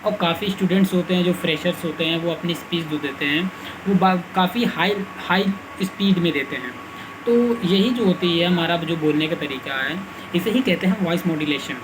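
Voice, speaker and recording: A man's voice: high voice, background noise. A man hosting a casual self-help lesson, often restarting his sentences and apologizing as he explains. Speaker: self-help video host. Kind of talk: casual explanatory talk to camera.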